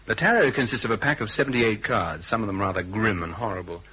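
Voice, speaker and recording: An actor playing a shrewd private detective in a radio drama, measured, probing and authoritative. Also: male voice, on the low side, sharp tone, husky, low-quality recording.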